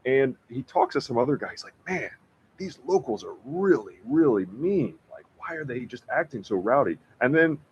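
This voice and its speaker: A man chatting casually about history on a podcast, rambling and slangy, with a husky voice. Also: medium pitch, big pitch swings, moderate pace, slight background noise. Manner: rambling, slangy, filler-heavy with frequent "like". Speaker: podcast co-host discussing books. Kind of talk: casual chat. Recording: dull, lo-fi audio.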